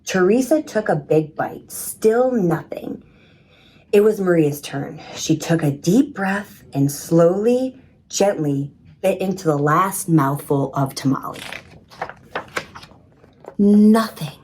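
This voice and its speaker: A woman reading a children's story in an expressive, measured storytelling manner. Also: fairly low pitch, rough recording. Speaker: storybook reader. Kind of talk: scripted children's story narration.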